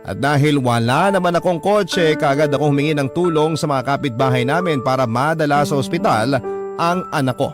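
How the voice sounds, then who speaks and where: A distraught parent narrating a radio drama, voiced by a man in a fairly high pitch. The delivery is earnest and sorrowful first-person storytelling, with music clearly playing underneath. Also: brisk, lightly acted. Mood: anxious, urgent. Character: distraught parent recounting a child's illness to radio host.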